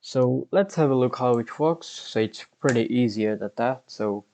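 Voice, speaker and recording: A man's voice: medium pitch, a little mellow, a little hiss or hum. A man in his twenties hosting a programming tutorial, explaining code step by step as he types. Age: 20s. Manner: unhurried, explains step by step while typing. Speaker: coding tutorial host. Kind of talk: screen-recorded programming tutorial.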